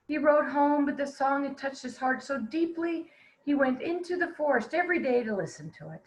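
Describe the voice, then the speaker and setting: High-voiced woman reading a fairy tale. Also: animated, normal speed, rough recording. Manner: storytelling to children. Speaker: volunteer storybook reader. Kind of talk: expressive scripted fairy tale narration.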